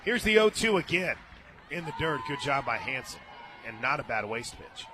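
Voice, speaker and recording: A man with an American accent doing live play-by-play radio commentary of a softball game, moving between chatty asides and excited calls. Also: medium pitch, moderate pace, crowd noise. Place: open radio booth at a ballpark. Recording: outdoor stadium noise behind the voice. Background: ballpark ambience.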